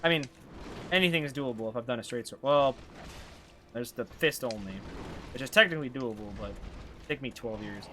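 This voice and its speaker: A man's voice: medium pitch, a little fast, pauses often, sharp tone, a little hiss or hum. A man with a Canadian accent giving casual live gameplay commentary, rambling and slangy as he thinks aloud. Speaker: game streamer.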